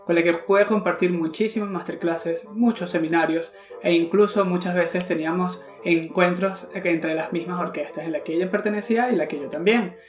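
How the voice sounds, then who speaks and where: Male podcast host, higher voice, gushing and repetitive questions, roomy echo on the audio. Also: fairly even intonation, moderate pace, hardly any pauses. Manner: effusive, repeats himself while phrasing questions. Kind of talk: friendly interview.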